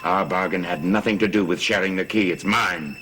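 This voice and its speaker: A man's voice: sits low, fairly quick, a bit bright. A middle-aged man acting in a gothic soap opera as a stern, scornful stepfather. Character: stern, scornful stepfather guarding his secret. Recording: noisy old TV soundtrack.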